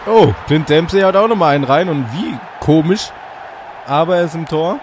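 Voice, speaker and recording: A man with a slight cold hosting let's play commentary on a football video game from a hot room at home, getting excitable and shouting at goals. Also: fairly high pitch, moderate pace. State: a bit congested with a cold. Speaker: gaming channel host. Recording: audible fan noise.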